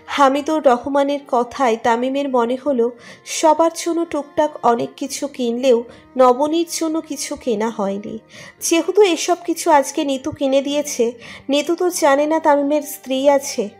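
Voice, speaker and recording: Woman narrating a romance story, high voice, music playing behind. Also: measured pace, a little mellow. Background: music under the narration.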